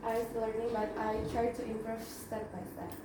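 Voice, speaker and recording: Halting young woman with an Indonesian accent. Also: fairly high pitch, fairly even intonation. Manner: halting, with frequent fillers and self-corrections. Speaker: student presenter. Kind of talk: class presentation. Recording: echoey, distant mic, background noise.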